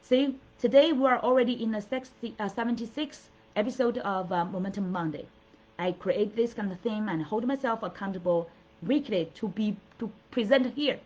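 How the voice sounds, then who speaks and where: A middle-aged woman from China, whose first language is Mandarin, coaches her listeners in an earnest, encouraging way. She talks at a mid pitch, stopping and restarting her sentences often. The audio sounds dull and lo-fi. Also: normal speed, darkish tone. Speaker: coach and show host. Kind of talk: motivational solo talk.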